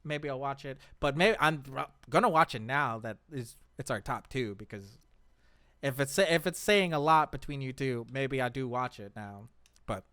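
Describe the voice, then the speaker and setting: A man's voice: medium pitch, expressive, normal speed, pushed and bright, clear, resonant tone, no room sound, clean studio sound. A man co-hosting a casual chat show, talking enthusiastically and emphatically about his favourites. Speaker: podcast co-host.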